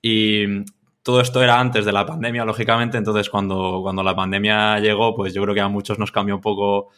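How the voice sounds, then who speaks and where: A man's voice: on the low side, level tone, fairly quick, a bit bright. A man from Mallorca with a Spanish accent, answering casually as a podcast guest, rambling with repeated words and tag questions. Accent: Spain. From Mallorca, Spain. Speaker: podcast guest, freelance content creator. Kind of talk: casual conversational interview answers.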